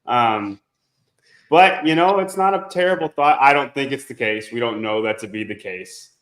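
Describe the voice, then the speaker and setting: A man's voice: mid-range voice, normal speed, fairly bright tone, roomy sound. A man co-hosting a casual podcast chat, sounding congested as he gets over a cold. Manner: laughing, loose, rambling. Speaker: podcast co-host.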